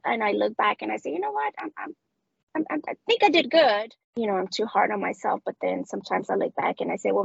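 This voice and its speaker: Woman, Colombian accent, gravelly husky voice, dull video call sound. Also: medium pitch, dry recording. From Colombia, Medellín. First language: Spanish. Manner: hesitant, many restarts and fillers. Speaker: guest, customer success director. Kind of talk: casual interview conversation.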